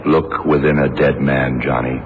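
An extremely low-voiced, brooding detective holds the floor with grave authority. He speaks in terse, deliberate phrases in vintage radio drama style, on a muffled, noisy old recording. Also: level tone. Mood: somber, knowing. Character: grave blind male detective, shrewd, brooding, commanding. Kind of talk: 1970s radio drama acting.

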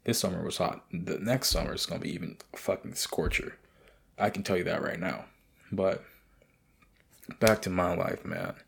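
A young man hosting his podcast, rambling and swearing in a casual late-night monologue with a raspy voice. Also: sits low, normal speed, long pauses, a bit bright. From United States. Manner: profane, rambling, loose. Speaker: podcast host.

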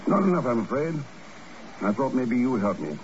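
An actor playing a cool, unflappable secret agent in a 1950s radio drama, calm, dry and understated. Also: male voice, medium pitch, brisk, close to an everyday voice. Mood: calm, probing. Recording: noisy muffled old radio recording.

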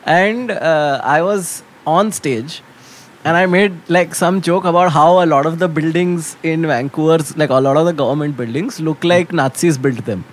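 A man's voice: a little high, moderate pace. A man who hosts a podcast, chatting easily with a fellow comedian and laughing often. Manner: laid-back, laughs easily, mixes Hindi into English. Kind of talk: casual chat.

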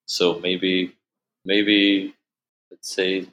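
A man from Austria whose first language is German, speaking English with an Austrian German accent as an interview guest, halting and repeating words, often laughing. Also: sits low, level tone, a bit bright, rough recording. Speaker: musician guest. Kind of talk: casual interview answers.

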